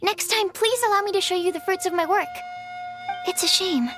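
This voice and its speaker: A woman's voice: extremely high pitch, moderate pace, over music. A woman voicing a shy, earnest young female alchemist in a video game, polite and hesitant, with bookish phrasing. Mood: earnest, eager.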